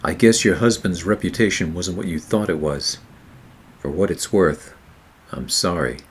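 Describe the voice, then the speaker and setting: A man playing a weary, wry private eye, narrating a noir radio play in a sardonic, measured way. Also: sits low, a little hiss or hum, lightly acted. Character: weary, wry hard-boiled male private eye narrating his case.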